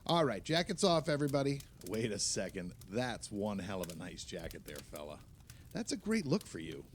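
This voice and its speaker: An actor playing a jovial, enthusiastic husband hosting a holiday party in an audio play, hearty and exclamatory. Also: male voice, lowish voice, big pitch swings, moderate pace, slight background noise.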